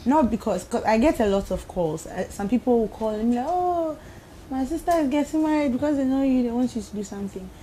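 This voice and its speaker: Mellow-voiced woman mixologist guest. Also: fairly high pitch, lively intonation, fast talker, slight background noise, low-quality recording. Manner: easygoing, laughs, says "like" often. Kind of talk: casual interview talk. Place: TV studio.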